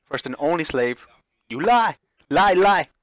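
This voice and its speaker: A man voicing a fiery, desperate visual novel heroine who scolds loudly and urgently. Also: fairly high pitch, expressive, a little slow, no room sound, clean studio sound, voice pushed up. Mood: furious disbelief. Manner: loud, urgent, insulting.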